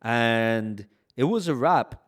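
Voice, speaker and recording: A man in his twenties from Brooklyn, New York, a music artist recalling memories in a relaxed interview, peppering his talk with "like" and "you know". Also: mid-range voice, slow. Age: 20s. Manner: relaxed, reflective storytelling with frequent "like" and "you know". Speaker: bachata artist, guest. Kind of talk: casual interview conversation.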